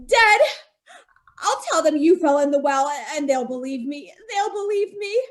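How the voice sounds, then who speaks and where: A woman's voice: high-pitched, animated, unhurried. A woman playing a terrified young wife with a noose around her neck in an old-time radio drama, pleading and sobbing. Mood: defiant. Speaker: radio actor.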